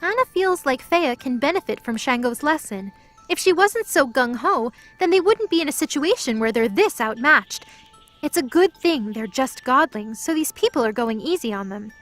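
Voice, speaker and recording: A woman's voice: high-pitched, lively intonation, moderate pace, a bit bright, soft background music. A woman reading scripted story narration as the narrator of an audio drama.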